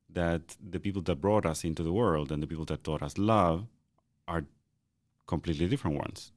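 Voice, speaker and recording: Deep booming man, thoughtful and halting. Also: dry recording. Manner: thoughtful, halting with repeated starts. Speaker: podcast co-host. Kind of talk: reflective conversational chat.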